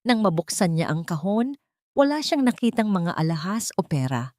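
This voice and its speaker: Filipino woman narrating, lowish voice, dry sound. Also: sing-song, normal speed, darkish tone, no echo. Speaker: storyteller. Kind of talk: dramatic scripted story narration.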